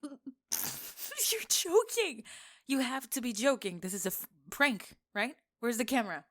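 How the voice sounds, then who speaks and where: A young woman playing a teasing, sarcastic tomboy gamer friend in an acted ASMR roleplay, with expressive sighs and laughter. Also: fairly high pitch, a little slow. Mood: amused disbelief. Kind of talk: acted ASMR roleplay, one-sided conversation.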